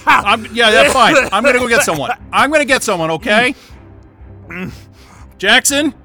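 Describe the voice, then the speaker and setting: Excitable job seeker, high male voice, very sharp and forceful, casual, blunt, audio drama. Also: moderate pace, soft background music, exaggerated voice. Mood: worried. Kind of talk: naturalistic audio drama acting.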